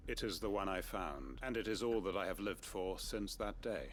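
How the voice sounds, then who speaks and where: An actor playing a grave older nobleman in a game, speaking in a formal, measured, solemn way. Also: deep voice, expressive. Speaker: game character.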